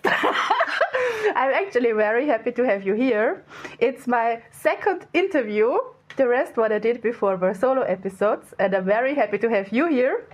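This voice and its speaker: A woman hosting a podcast, chatty and quick to laugh in a casual interview. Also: fairly high pitch. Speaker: podcast host.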